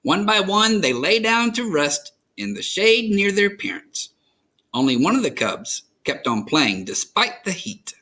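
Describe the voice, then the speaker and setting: Animated American man reading a children's story. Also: sits high, a little slow. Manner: expressive, dramatic storytelling. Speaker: children's story reader, host.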